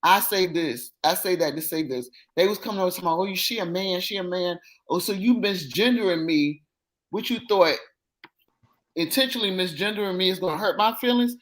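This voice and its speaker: A woman in her fifties with a deep, powerful voice, chatting bluntly and repeating her points for emphasis. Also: a little fast, low-quality recording. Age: 50s. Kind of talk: casual gossip chat.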